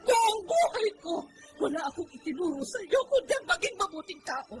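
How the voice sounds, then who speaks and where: A woman's voice: very high voice, big pitch swings, fairly quick, faint music behind. A woman playing an anguished, righteous, long-suffering mother in a Filipino radio drama, pleading through tears with a Filipino accent. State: crying. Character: anguished, upright, long-suffering mother scolding her son. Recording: noisy track with clicks.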